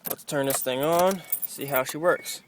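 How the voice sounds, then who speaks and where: Slow-talking man, casual, with background noise. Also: on the high side. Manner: informal, off-the-cuff, mild swearing. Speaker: amateur vlogger showing a car radio install. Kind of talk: casual handheld walkthrough. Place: in and around a parked car. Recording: noisy handheld outdoor car recording.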